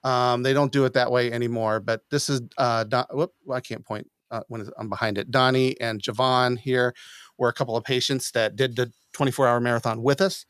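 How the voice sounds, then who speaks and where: A man's voice: medium pitch, steady tone, fairly quick, fairly bright tone, clear, resonant tone, dry recording. Middle-aged man, dry close studio mic. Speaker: podcast host. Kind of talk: casual chat. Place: small home studio room. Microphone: boom-arm studio mic up close.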